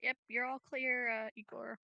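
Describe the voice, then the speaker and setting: Woman, tabletop player, even high-ish pitch, vocal fry, casual game chat, dry close sound. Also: normal speed, no room sound, highs cut off.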